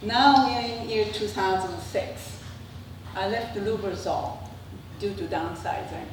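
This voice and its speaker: An older woman from Japan with a Japanese accent and native Japanese, giving a prepared autobiographical slide talk in measured, halting phrases. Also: mid-range voice. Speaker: presenter, traditional Japanese dancer. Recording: echoey room, distant mic, clear background noise.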